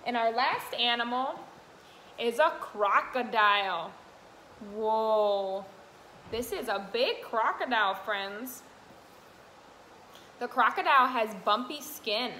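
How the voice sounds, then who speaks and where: A woman's voice: fairly high pitch, expressive, takes it slowly, long pauses, sharp tone. A young adult woman reading aloud and teaching small children in a sing-song way, asking simple questions. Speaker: toddler classroom helper. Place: indoor classroom, sitting on the floor. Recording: roomy echo, faint room noise.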